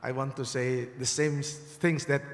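A man with an Indonesian accent greeting a church congregation as a visiting guest, halting, with many fillers and restarts. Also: mid-range voice, fairly even intonation. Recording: reverberant hall with crowd.

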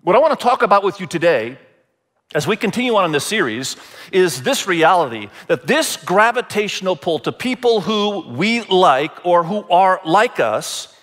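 Male pastor from Indonesia, slightly high voice, deliberate sermon delivery with emphatic repetition. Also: very animated, fairly bright tone. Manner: deliberate, repeats phrases for emphasis. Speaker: pastor preaching to a church congregation.

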